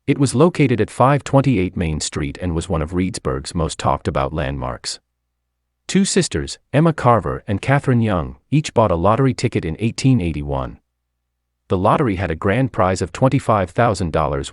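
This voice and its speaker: Deep male narrator for scripted local history, animated inflection, dry studio-like sound. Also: no room sound.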